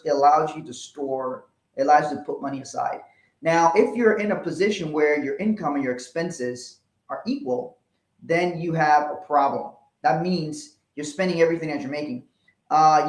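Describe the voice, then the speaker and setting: A man's voice: fairly high pitch, echoey room, low-quality recording. A man who grew up in West Africa, with an accent mixed from several places, explaining money matters in casual chat and often restarting his phrases. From Liberia, West Africa. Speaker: co-host. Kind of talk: casual financial advice chat.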